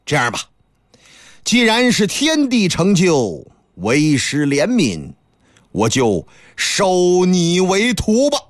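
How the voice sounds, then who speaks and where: A man voicing an old male Taoist master who speaks in a slow, measured, solemn way. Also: high voice, wildly swooping pitch, no room sound, clean studio sound, heavily acted.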